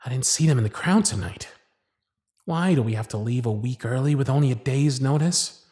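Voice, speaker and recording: Man acting as a husky, nervous lovestruck circus performer. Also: medium pitch, warm tone, dry recording, clean studio sound, natural voice. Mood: anxious, frustrated. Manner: hesitant, breathy, earnest, halting delivery. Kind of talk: intimate ASMR roleplay acting.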